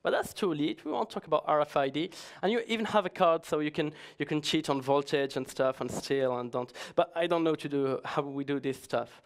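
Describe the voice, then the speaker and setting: A man's voice: on the high side, fairly quick, hardly any pauses. Joking man giving a conference talk. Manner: chatty, joking, hesitant, many restarts. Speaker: conference speaker.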